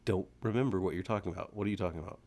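A man co-hosting a podcast in casual discussion, retelling things in an animated way and acting out quotes. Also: low voice, very fast. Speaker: podcast co-host. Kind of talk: casual discussion of a fiction audiobook.

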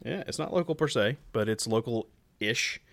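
A man's voice: mid-range voice, animated, normal speed, creaky, fairly bright tone, no room sound. A man co-hosting a podcast in casual, conversational talk. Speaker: podcast co-host.

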